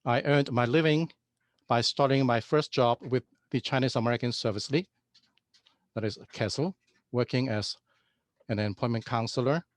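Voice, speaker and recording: A man from Hong Kong gives a prepared introduction of himself at a measured, formal pace. His voice sits at an ordinary pitch for a man. The sound is dry, with no room around it, like a video call. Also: no room sound. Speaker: museum executive director.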